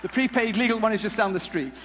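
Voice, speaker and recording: A man with a high voice presents on stage in a jokey, halting style. He wanders through humorous stories as a conference speaker. The hall adds long reverb, with some noise behind him. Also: a bit bright, a faint crowd. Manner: jokey, halting, rambling delivery. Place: large conference hall before an audience. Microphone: headset mic. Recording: echoey hall PA with background noise.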